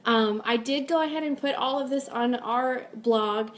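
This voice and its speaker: Chatty lady hosting a fan live stream, kinda high voice, upbeat and super informal. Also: moderate pace. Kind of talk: casual live stream chat, reading viewer comments and announcements.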